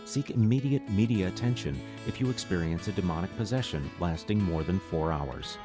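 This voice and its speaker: A man playing a deadpan announcer in a parody drug commercial, reading straight-faced over guitar music. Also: deep voice, normal speed. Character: deadpan male pitchman for an absurd medication. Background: plucked guitar music.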